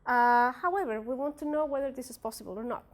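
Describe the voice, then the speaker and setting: A woman's voice: a little high, quick pace. A young woman from a Spanish-speaking country, a native Spanish speaker with a Spanish accent, presenting her research at a workshop with many fillers and restarts. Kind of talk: academic presentation.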